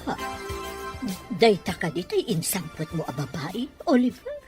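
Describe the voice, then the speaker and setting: A woman's voice: mid-range voice, huge pitch swings, a little slow, over music. An actress playing a wealthy, haughty middle-aged mother in a radio drama, questioning and exclaiming theatrically. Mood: suspicious. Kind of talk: Filipino radio drama acting.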